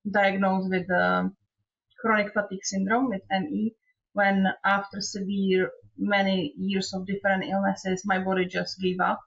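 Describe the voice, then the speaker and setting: A woman from Czechoslovakia, a trauma therapist telling her story in a measured way as an interview guest, speaking over a remote call from her home. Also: medium pitch, creaky, husky, highs cut off. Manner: non-native phrasing, measured storytelling. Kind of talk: interview conversation.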